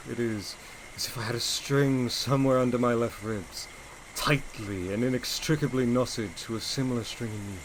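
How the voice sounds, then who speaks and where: An actor playing a brooding, teasing English gentleman in a gothic romance audio drama, speaking in formal, theatrical period phrasing. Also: mid-range voice, a little hiss or hum, close to an everyday voice, low-quality recording. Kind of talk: audio drama acting, period literary dialogue.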